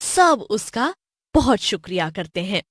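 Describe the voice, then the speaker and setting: A woman's voice: medium pitch, very animated, a little slow, no room sound, clean studio sound. A woman giving scripted narration of a cartoon horror story.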